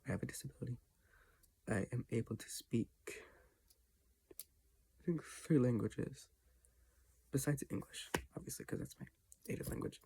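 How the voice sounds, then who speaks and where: A teenager talking casually in a personal vlog from their bedroom, halting with restarts and often dropping to a half-whisper. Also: mid-range voice, creaky, dark tone, a little hiss or hum, poor audio. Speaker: vlogger. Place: own bedroom, indoors.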